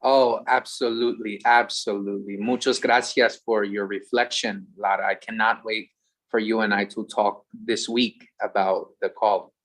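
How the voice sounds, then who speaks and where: A man's voice: fairly low pitch, level tone, moderate pace, a bit bright, rough recording. A man with a Philadelphia accent co-hosting an online event, giving humble thanks mixed with short Spanish phrases and casual asides. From USA.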